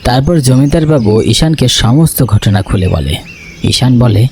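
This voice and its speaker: A man's voice: medium pitch, expressive, a little slow, darkish tone, a little hiss or hum. A man narrating a scripted horror story for a cartoon.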